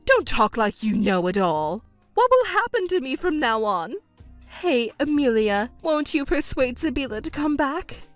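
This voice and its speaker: A woman voicing a selfish middle-aged stepmother who whines, wails and accuses in a shrill voice. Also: big pitch swings, measured pace, no room sound, soft background music. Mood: pleading. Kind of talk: narrated drama dub.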